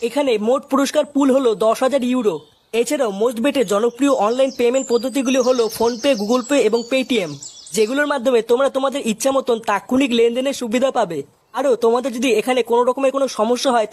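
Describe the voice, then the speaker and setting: Male ad voice, scripted promotional delivery, rapid pace. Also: extremely high pitch, fairly even intonation, darkish tone. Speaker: advert presenter.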